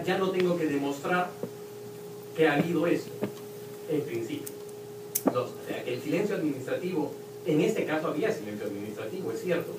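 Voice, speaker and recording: A man with a Peruvian accent speaking on a university panel, explaining points with examples and occasional restarts. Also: fairly high pitch. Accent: Peruvian Spanish. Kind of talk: academic panel talk. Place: lecture hall at a university event. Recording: roomy, distant hall recording with noise.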